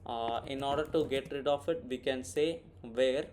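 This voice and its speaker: A man's voice: medium pitch, normal speed, darkish tone. Man giving a tutorial over noisy background. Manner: thinking aloud, often adding "right?" as a check. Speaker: coding tutorial presenter. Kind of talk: informal screen-recorded tutorial walkthrough. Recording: clear background noise, keyboard typing. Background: computer keyboard typing, background noise.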